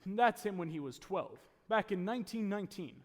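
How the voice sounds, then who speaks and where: A man plays a calm heavenly superintendent in a radio drama. He sounds measured, senior and patient, and his voice carries through an echoey hall. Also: high voice. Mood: calm, authoritative. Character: calm authoritative male superintendent of angels. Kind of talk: radio play acting. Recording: echoey live hall taping.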